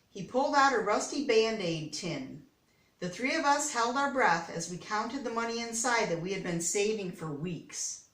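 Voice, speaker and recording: A woman's voice: medium pitch, a bit bright. Echoey, distant older American woman reading aloud. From Detroit, United States. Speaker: storybook reader. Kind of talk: read-aloud of a children's picture book with casual asides. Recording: roomy, echoey, distant microphone.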